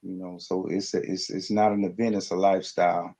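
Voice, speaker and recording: A man speaking reflectively in a podcast conversation, halting and earnest, with frequent pauses. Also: low voice, little pitch movement, dark tone. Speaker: podcast guest.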